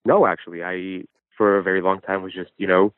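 A man's voice: low voice, steady tone, a little fast, smooth, no room sound. A man calling in to a show, talking casually, hesitantly and with swearing about his own troubles over a phone line. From New Jersey. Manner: hesitant, profane. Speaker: phone-in caller. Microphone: phone call. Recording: muffled band-limited phone line.

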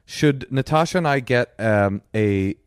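A man hosting a casual comic chat, telling stories in an animated way with dry jokes. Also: mid-range voice, measured pace, clean tone, no room sound. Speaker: podcast host.

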